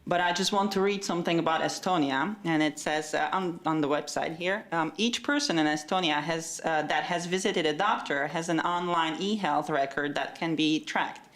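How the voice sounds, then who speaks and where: A woman's voice: low-pitched, moderate pace, few pauses, a bit bright. A woman from Slovakia, an audience member who speaks directly and reads a passage aloud before her question.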